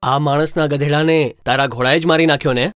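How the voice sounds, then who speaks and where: A man playing a shrewd cartoon judge who questions sharply and rules decisively. His voice sits a little high. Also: steady tone, fairly quick, a bit bright, faint music behind, natural voice. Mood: probing. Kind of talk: cartoon storybook dub.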